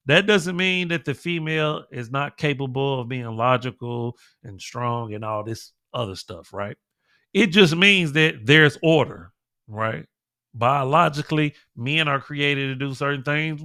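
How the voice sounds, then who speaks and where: A man's voice: fairly high pitch, pushed and bright, no room sound, clean studio sound. An American man hosting his own show, talking casually with a stuffed-up nose and checking in with "right?" and "okay?". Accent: American English. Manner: conversational, keeps adding "right?" and "okay?". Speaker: podcast host. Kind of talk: casual monologue commentary.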